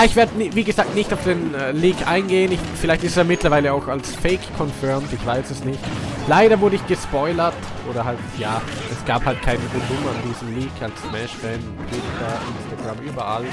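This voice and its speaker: A young man with a cold, casually commentating a video game he is playing, jumping between excited outbursts and quiet mumbling. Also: brisk. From Austria. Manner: excitable, swings between hyped shouts and low mumbling. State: congested from a cold. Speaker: gaming channel host. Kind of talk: casual let's play commentary. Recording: game music playing behind.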